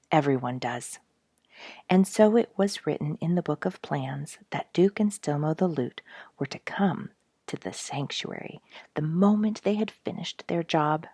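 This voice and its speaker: A woman's voice: low-pitched, a little mellow, no echo, studio quality. A woman hosting a story reading, telling a book chapter expressively to young listeners with emphatic, friendly storyteller phrasing.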